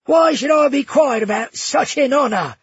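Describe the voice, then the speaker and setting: A man's voice: extremely high pitch, animated, normal speed, no room sound, lo-fi sound, pitched above the performer's own voice. A man putting on a giddy old tavern keeper. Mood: gushing. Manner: effusive, gushing, exclamatory.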